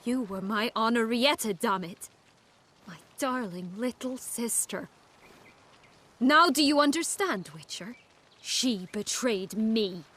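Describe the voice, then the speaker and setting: A woman playing a proud, bitter exiled noblewoman in a video game, speaking in a deliberate, cutting, dramatic manner. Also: a little high, fairly bright tone, husky, heavily acted. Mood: wounded, accusing. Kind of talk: video game cutscene acting.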